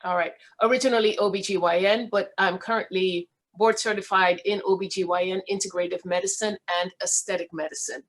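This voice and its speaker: A middle-aged woman with a Guyanese accent, a physician introducing herself on an online panel in a relaxed, chatty way. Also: mid-range voice, a little fast, hardly any pauses. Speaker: physician guest.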